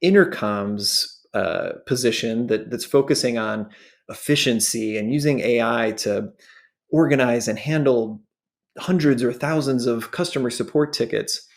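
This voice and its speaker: A man presents a walkthrough in an explanatory way. His voice sits at an average pitch with a good deal of vocal fry, and he breaks his commentary into short phrases. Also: measured pace. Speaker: show host and presenter.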